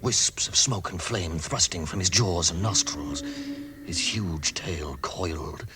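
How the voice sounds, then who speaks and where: An actor playing a grave storyteller, narrating a vintage radio drama in a measured, portentous way. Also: male voice, low-pitched, expressive, heavy background noise. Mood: grave wonder. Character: grave, dramatic male storyteller of an epic tale. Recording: vintage radio, loud music behind.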